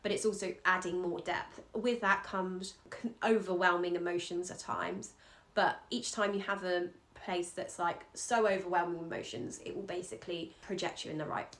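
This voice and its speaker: A woman's voice: on the low side, fairly even intonation, moderate pace, few pauses, fairly bright tone. Earnest Scottish woman reading a chart. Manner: encouraging, earnest, loose fillers and restarts. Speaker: astrologer.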